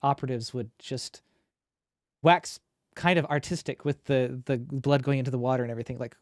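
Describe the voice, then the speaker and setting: A man's voice: medium pitch, fairly even intonation, a little fast, darkish tone, no echo. The male host of a film livecast in a dry, close-sounding setup, chatting casually and keenly impressed by the guest. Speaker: interview host. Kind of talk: casual conversational interviewing.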